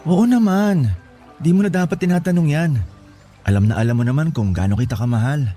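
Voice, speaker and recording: A man's voice: fairly high pitch, a little fast, dark tone, soft background music, natural voice. A man playing a devoted young boyfriend in a Filipino radio romance, speaking earnestly and tenderly. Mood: earnest, loving. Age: young adult. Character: devoted young boyfriend leaving to study in the city. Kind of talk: Tagalog radio romance drama acting.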